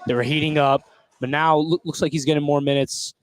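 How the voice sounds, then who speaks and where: A man's voice: fairly high pitch, steady tone, fairly quick, dry recording. A man giving casual color commentary and short analysis during a live basketball broadcast.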